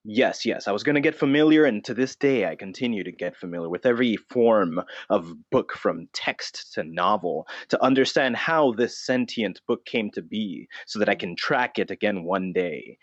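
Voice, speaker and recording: A man's voice: lowish voice, expressive, moderate pace, few pauses. A man playing a brooding, self-serious vigilante notebook dressed as a bat in an improvised mock interview, speaking solemnly and hesitantly and repeating himself. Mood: solemn, haunted. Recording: dull, roomy, echoing sound.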